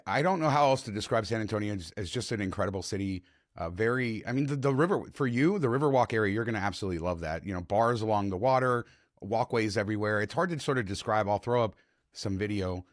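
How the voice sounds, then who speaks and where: A man hosting a travel podcast, chatty and enthusiastic, his voice slightly hoarse, on a close podcast mic. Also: lowish voice, no echo. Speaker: podcast host. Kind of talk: casual travel podcast chat.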